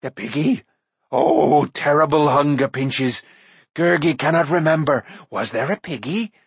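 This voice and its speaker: A grovelling, pitiful half-beast creature, put on by a male narrator whines and wheedles for food. The voice is slightly husky and close, with no room sound. It is a storybook creature with a sly, self-pitying streak. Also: on the high side, a little slow, no room sound, pitched above the performer's own voice, exaggerated voice. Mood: wheedling.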